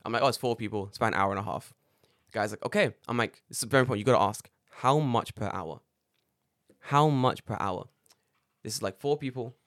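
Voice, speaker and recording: A man co-hosting a casual podcast, his voice a little raspy, telling stories with lively acted-out quotes and plenty of swearing. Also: lowish voice, fast talker, dry recording, clean studio sound. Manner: animated storyteller acting out quoted dialogue, swears freely. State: slightly raspy voice after karaoke. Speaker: podcast co-host. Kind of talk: casual chat.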